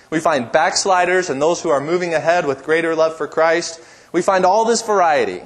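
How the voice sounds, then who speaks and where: A pastor delivering a sermon in a church with a strong, powerful voice and an emphatic, repetitive preaching cadence. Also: male voice, fairly high pitch.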